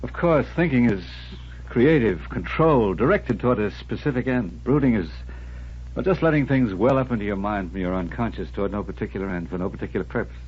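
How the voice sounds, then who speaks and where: An actor playing a troubled, indecisive doctor in a hesitant, brooding 1940s radio drama style. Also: male voice, lowish voice, a little fast, natural voice. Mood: uneasy, brooding. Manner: hesitant, brooding, self-deprecating. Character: troubled doctor, brooding, indecisive husband and would-be psychiatric patient. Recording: dull, hissy old radio broadcast, humming.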